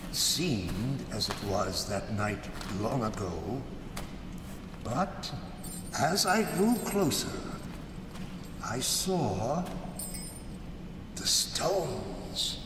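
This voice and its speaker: In a noisy, echoing hall, a haunted, vengeful older man telling of his cruel deed. He speaks slowly and theatrically, some distance from the mic, in gothic radio drama fashion. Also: mid-range voice, animated. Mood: haunted, remorseful. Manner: slow, deliberate, dramatic delivery. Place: live stage before an audience in a large hall.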